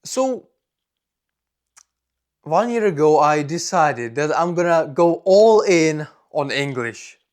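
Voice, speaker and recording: A young man from the Czech Republic, a native Czech speaker with a strong Czech accent, gives a personal vlog monologue in deliberate, simple non-native phrasing. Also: a little high, expressive. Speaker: vlogger.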